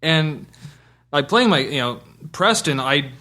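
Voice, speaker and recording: A man's voice: fairly high pitch, animated, takes it slowly, bright, forceful tone. A man chatting casually as a podcast co-host, informal and sweary, with broken, restarted sentences. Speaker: podcast co-host and game player. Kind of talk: casual chat.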